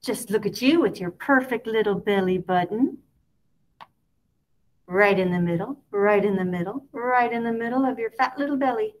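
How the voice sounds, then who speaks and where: A woman reads a children's story aloud expressively, in a sing-song way with drawn-out key words. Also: a little high, rough recording. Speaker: picture book reader.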